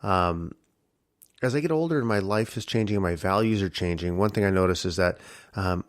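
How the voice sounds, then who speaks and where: A man hosting a casual solo podcast with a husky voice, reflective and rambling with many fillers. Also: low-pitched, a little fast, no room sound, clean studio sound. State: early-morning tired voice. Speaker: podcast host.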